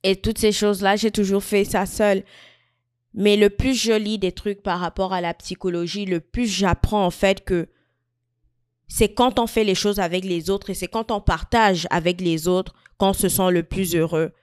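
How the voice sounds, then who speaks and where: A woman in her mid-twenties from Equatorial Guinea, talking thoughtfully to camera in a personal vlog, with frequent pauses and restarts. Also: on the low side, clear, resonant tone, no echo, studio quality. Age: 20s. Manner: thoughtful, searching, many fillers and restarts. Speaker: vlogger. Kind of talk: reflective personal talk to camera.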